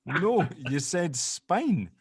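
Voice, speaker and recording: A man's voice: on the high side, very animated, drawn-out words, a little hiss or hum, exaggerated voice. A man playing an earnest, exasperated amateur sleuth in a drama table read, hesitant in his explanations. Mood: realizing.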